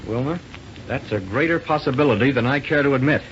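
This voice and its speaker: A man's voice: medium pitch, quick pace, close to an everyday voice. A young space pilot hero voiced by a man from a vintage radio adventure. He talks in an earnest, folksy, eager way. The sound is muffled and slightly hissy. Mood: puzzled, determined. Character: earnest, plain-spoken young male rocket pilot hero. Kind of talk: 1930s radio acting.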